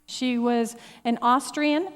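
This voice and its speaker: Slow-talking youth group leader in a hall. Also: female voice, a little high, fairly even intonation, clear, resonant tone. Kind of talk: reflective teaching to a group.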